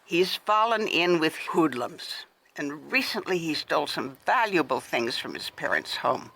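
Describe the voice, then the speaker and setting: An actress playing a refined, middle-aged wealthy widow in a radio detective drama, with a formal, confiding way of talking. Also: female voice, sits low, sing-song, unhurried, creaky, fairly bright tone, slight background noise, full character voice. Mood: anxious. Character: wealthy widow, refined, gracious, worried hostess.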